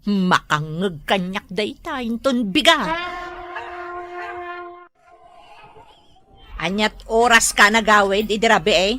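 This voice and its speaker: A woman's voice: mid-range voice, normal speed, background noise, lightly acted. A woman playing an exasperated younger wife in a comedy drama, complaining and scolding with a northern Philippine accent. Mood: suspicious. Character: exasperated nagging younger wife of a lazy husband.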